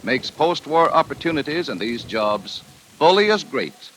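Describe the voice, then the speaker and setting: A man's voice: fairly high pitch, big pitch swings, a little slow, a bit bright. A man reading a scripted 1940s radio public service announcement in a formal, emphatic manner. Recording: faintly noisy vintage radio recording.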